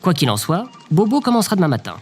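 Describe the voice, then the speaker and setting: A man's voice: sits high, wildly swooping pitch, fast talker, faint music behind. An actor playing an exasperated, sarcastic male co-worker in an audio drama. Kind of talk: scripted audio drama acting.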